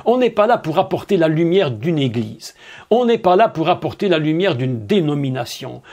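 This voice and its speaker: A man's voice: fairly high pitch, sing-song, moderate pace, a little mellow. A man preaching a sermon, emphatic and drawn out, with rhetorical questions to his listeners.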